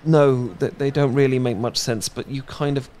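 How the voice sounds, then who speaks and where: A man's voice: medium pitch, fairly even intonation, normal speed, a little mellow. A young British man in a romantic audio roleplay, talking shyly with many fillers and restarts. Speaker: ASMR audio roleplay performer.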